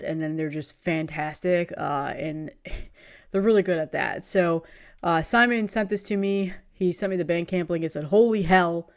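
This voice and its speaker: Sniffly, excited woman chatting casually. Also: lowish voice, clean tone. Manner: enthusiastic, rambling, laughs often. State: has a cold, sniffly. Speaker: music reviewer. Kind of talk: casual video review chat.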